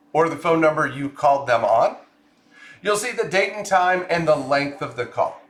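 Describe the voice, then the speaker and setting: Male software explainer, raised pitch, methodical instructional delivery, noticeable room echo. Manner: clear step-by-step explaining. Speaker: software tutorial presenter. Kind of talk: scripted screen-share tutorial narration.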